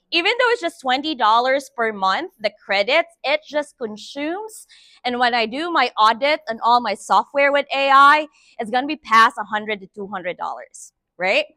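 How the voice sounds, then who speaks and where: A bright, high-voiced woman speaks to a conference room through a microphone. Her talk is chatty and upbeat, tossing frequent "right?" checks to the audience. The sound is dry and close, with little room echo. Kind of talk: live presentation to an audience.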